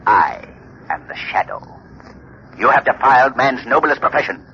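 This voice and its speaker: An actor playing a menacing invisible crime-fighting avenger in an ominous, declamatory 1930s radio drama style. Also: male voice, mid-range voice, animated, moderate pace, pushed and bright, a little hiss or hum. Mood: grave menace. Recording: muffled 1930s radio, music behind.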